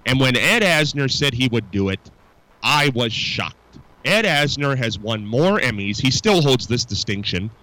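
A man's voice: medium pitch, bright, forceful tone, dry recording, rough recording. A man hosting a local radio talk show, chatting casually and rambling with restarts.

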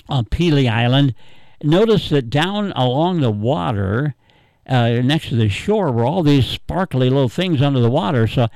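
Old midwest guy in his 80s doing a radio call-in show, rambles and hesitates, dry sound, medium pitch. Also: darkish tone, clean tone, dry recording, poor audio. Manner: rambling, halting, chuckling storyteller. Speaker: radio talk host taking callers. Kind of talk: casual, unscripted reminiscing and chat.

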